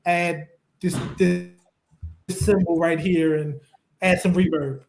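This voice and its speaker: High-voiced male host in an echoey room. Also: level tone, vocal fry, a little mellow. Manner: easygoing, affirming, quick to agree. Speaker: co-host of a web interview show. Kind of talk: casual interview hosting.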